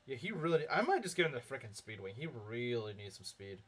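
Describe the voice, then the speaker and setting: A man's voice: medium pitch, big pitch swings, fairly quick, a bit bright. A young man streaming a game, chatting casually with viewers and rambling through his thoughts with lots of filler words and frequent chuckles. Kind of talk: casual live-stream commentary reading chat.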